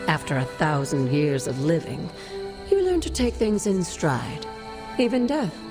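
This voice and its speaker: Playful, lilting ghostly old sorceress. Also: female voice, sits low, very animated, music in the background. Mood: calm, mischievous. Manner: wry, unhurried, affectionate. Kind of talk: video game voice acting.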